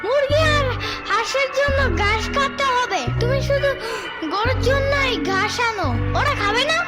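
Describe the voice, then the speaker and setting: A performer voicing a lively, bossy, curious little child in a cartoon, with music behind. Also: very high voice, moderate pace. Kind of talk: cartoon voice acting.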